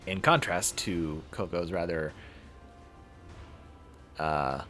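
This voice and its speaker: A man streaming a visual novel playthrough, reading it aloud in a halting, dramatic way and correcting himself. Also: deep voice, pushed and bright, soft background music.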